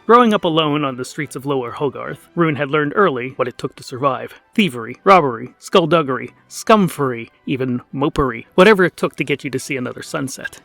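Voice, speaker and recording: A man's voice: mid-range voice, a bit bright. A man narrating a scripted comic fantasy tale in a wry, theatrical manner. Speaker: narrator and series creator.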